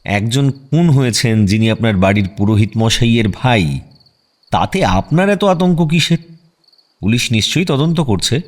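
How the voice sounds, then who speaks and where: An actor playing a self-assured detective in a radio drama, questioning people in a brisk, direct way. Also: male voice, mid-range voice, animated, lightly acted. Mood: probing. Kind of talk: radio audio drama acting.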